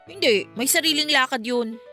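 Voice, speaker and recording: A carefree, breezy female friend. The voice is very high and bright, performed in radio drama style over music. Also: moderate pace. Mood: breezy, casual. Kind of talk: Filipino radio drama acting. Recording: music bed under the dialogue.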